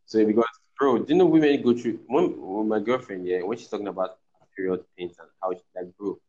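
A man chats bluntly on a podcast, pressing his points hard and swearing freely. His voice is low. The sound is muffled and lo-fi. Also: a little fast, warm tone. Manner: blunt and emphatic, swears, says "bro" a lot. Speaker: podcast guest. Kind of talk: casual chat. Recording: dull lo-fi sound.

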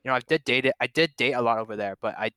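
A man's voice: mid-range voice, racing, pushed and bright, clear, resonant tone, no echo, clean studio sound. A man in his late twenties from Canada, a Cantonese speaker, telling rambling, profane, self-mocking stories in a casual chat. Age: 20s. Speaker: guest.

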